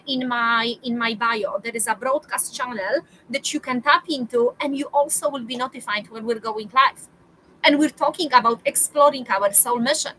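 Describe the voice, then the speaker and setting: Woman, 40s, spiritual coach, high and bright, emphatic and repetitive delivery. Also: slight background noise, poor audio. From Poland. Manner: emphatic, repeats phrases for urging. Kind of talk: motivational monologue to viewers.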